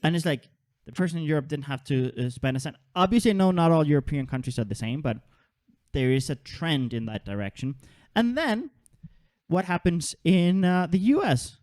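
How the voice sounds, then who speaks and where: A man born in Holland with Danish citizenship, hosting a podcast in casual, animated chat and often repeating words as he thinks. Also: a little high, normal speed, no room sound, studio quality. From Denmark and Holland. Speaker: podcast host.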